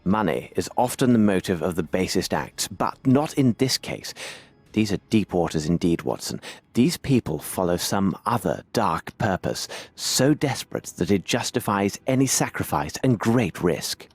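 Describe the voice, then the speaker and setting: An aloof detective for a video game. His voice sits fairly low and moves with animated intonation. He speaks formally and commands everyone around him. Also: moderate pace, breathy edge, dry recording, heavily acted. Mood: grave, pensive. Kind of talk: video game cutscene acting.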